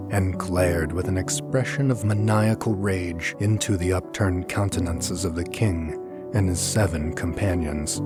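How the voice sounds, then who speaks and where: A low-pitched male audiobook narrator reads a gothic story in a measured, deliberate way. His delivery is scripted and dramatic, with music clearly playing behind the voice.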